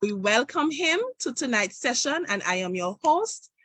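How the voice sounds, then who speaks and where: A woman, hosting an online session and reading a formal, measured introduction of a guest. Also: fairly high pitch, big pitch swings, fairly bright tone, dry recording. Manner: reads a prepared introduction, measured. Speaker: host.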